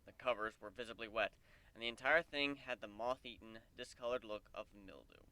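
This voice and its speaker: A man's voice: medium pitch, moderate pace, sharp tone, no room sound. A man reading a story aloud as narrator, in the style of a dramatic audiobook. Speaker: narrator, fan fiction reader.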